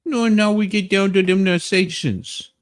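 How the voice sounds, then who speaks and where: A man's voice: high voice, normal speed. A man doing a playful, theatrical dramatic reading of a legal document, with casual asides. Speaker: co-host reading a court ruling aloud.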